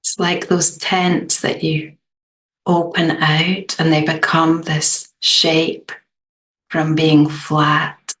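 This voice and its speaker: A woman leading a guided meditation in a gentle, unhurried way, leaving long pauses between short phrases. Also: low-pitched, flat delivery, low-quality recording.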